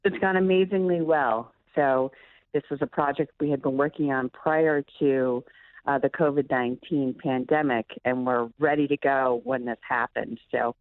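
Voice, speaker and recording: A woman's voice: extremely low pitch, fairly even intonation, moderate pace, no echo. A thin, phone-like line carries a woman's voice with hardly any room sound. She is a physician and medical director answering interview questions in an explanatory way.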